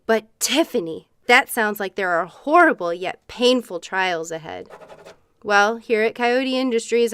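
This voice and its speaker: A woman's voice: medium pitch, fairly bright tone, clear, resonant tone, close to an everyday voice. A woman playing a darkly cheerful corporate guide at a test facility in a comic radio play, politely delivering grim news. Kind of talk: comic radio theatre monologue.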